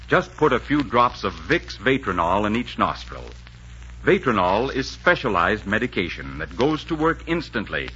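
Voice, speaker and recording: Bright, animated male vintage radio announcer. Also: mid-range voice, slight background noise. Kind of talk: 1940s commercial announcing, scripted. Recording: muffled 1940s radio, faint music behind.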